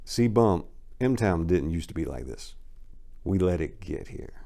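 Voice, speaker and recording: A man's voice: sits low, expressive, unhurried, gravelly, dark tone, heavily acted. A man voicing a laid-back, persuasive Southern crew leader with a Southern US accent in a crime story. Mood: confiding, easygoing. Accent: American South. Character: smooth-talking Southern crew leader, amused, folksy.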